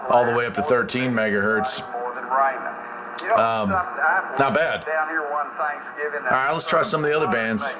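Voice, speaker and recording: A man giving a casual, folksy hobby demonstration, thinking aloud haltingly as the host. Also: mid-range voice, lively intonation, vocal fry, over a noisy crowd, rough recording. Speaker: host of a radio hobby channel. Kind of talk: casual product demonstration chat. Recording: loud radio hiss and static noise. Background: shortwave radio static.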